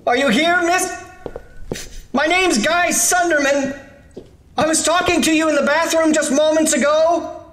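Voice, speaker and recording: A man playing a big-city architect trapped alone in a dark library, uneasy and pleading in an audio horror drama. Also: very high voice, unhurried, long pauses. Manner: skeptical and uneasy, turning to panicked pleading.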